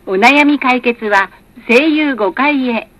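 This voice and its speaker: A woman with a high voice delivers recorded announcements for bus passengers. Her delivery is scripted and formal. She articulates each phrase carefully and stays polite throughout. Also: takes it slowly, dry recording.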